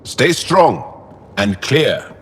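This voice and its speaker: An actor playing an earnest, guarded male alien fighter in a video game, speaking in deliberate, formal phrases. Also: medium pitch, very animated, fairly bright tone, slightly rough, full character voice. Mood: wry, earnest. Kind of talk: video game dialogue acting.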